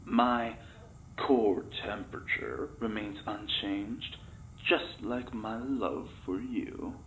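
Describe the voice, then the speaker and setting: An actor playing a flirtatious, lovestruck test subject in an audio drama, with syrupy, theatrical delivery. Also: male voice, fairly low pitch, takes it slowly, fairly bright tone, a little hiss or hum, low-quality recording.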